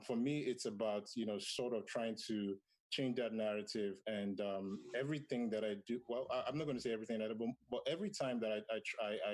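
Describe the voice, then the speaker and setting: Low-voiced man from Nigeria. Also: a little mellow, low-quality recording. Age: 30s. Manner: thoughtful, with many fillers and restarts. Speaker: panelist, comic creator. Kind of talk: virtual panel discussion.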